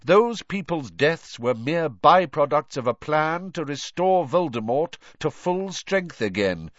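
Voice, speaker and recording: A man's voice: fairly high pitch, big pitch swings, unhurried, dry recording, dull audio, voice pushed up. Man voicing grave, commanding old headmaster. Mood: grave, insistent. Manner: sharp, authoritative. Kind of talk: audiobook character voice.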